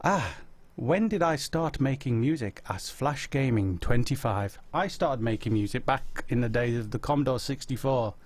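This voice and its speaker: A man's voice: medium pitch, lively intonation, normal speed. A man in his forties with a Yorkshire accent, casually chatting live and answering viewer questions with self-mocking humour. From Yorkshire, England. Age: 40s. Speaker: host answering viewer chat.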